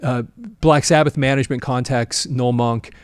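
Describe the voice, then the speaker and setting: A man's voice: mid-range voice, steady tone, moderate pace, smooth, no room sound. A man hosting a music history channel, telling stories in a casual, chatty, amused way. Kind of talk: casual storytelling, reading out old articles.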